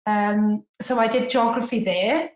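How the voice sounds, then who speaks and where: A Welsh woman in her forties tells her own story on a remote podcast call. Her first language is Welsh. She is chatty and self-deprecating, with plenty of fillers, and her slightly higher voice sits in a roomy, echoing space. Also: steady tone, a little slow, a little mellow. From Cardiff, Wales. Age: 40s. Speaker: podcast guest. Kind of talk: casual interview chat. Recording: roomy echo.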